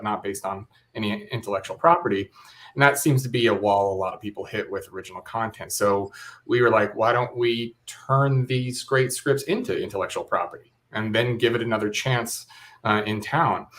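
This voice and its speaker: A man's voice: fairly low pitch, fairly bright tone. A man talking casually as an interview guest, a director explaining a creative project.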